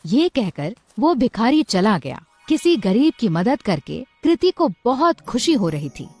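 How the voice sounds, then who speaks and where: Woman telling a story, high animated voice, music playing behind her. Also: measured pace, husky, no room sound. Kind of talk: expressive scripted narration of a story.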